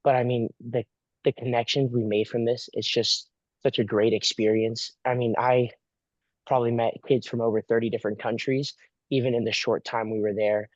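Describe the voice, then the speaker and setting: Young man, flat pitch, dry dull lo-fi sound. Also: moderate pace, no room sound. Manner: enthusiastic, with filler phrases. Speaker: former jamboree participant. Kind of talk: personal testimonial.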